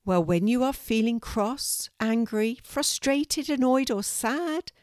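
A woman voicing a kind young girl who speaks gently and soothingly. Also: a little high, expressive, takes it slowly, no echo, clean studio sound, voice pushed up.

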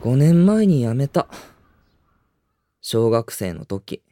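An actor playing a reserved, understated teenage high school boy who used to dance ballet, in a radio drama with dialogue and narration. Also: very animated, slow, warm tone, no room sound, studio quality. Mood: guarded, wistful.

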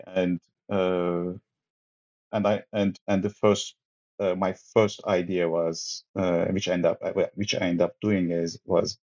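A man's voice: low voice, darkish tone, dull audio. A man from Turkey with a Turkish accent, a sociologist talking about his research as an interview guest, hesitant with many restarts and fillers. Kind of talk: academic interview.

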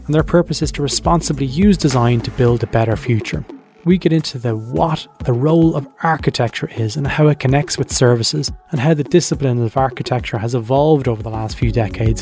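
A man hosting a design podcast, introducing the episode and interviewing his guest in a relaxed conversational way. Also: medium pitch. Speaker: podcast host.

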